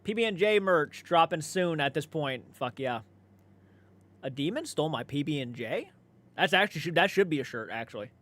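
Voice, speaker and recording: Guy streamer with a kinda higher voice, chill casual chat, reads comments and swears a bit. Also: animated, normal speed, fairly bright tone. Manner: laid-back, conversational, swears freely. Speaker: livestream host. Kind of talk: casual livestream chat, reading and answering viewer comments.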